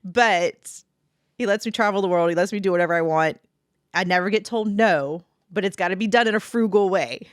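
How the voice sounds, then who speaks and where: A woman's voice: mid-range voice, brisk, no room sound, clean studio sound. A woman co-hosting a podcast, speaking in an earnest, confessional, emphatic way. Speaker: podcast co-host. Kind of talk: casual chat.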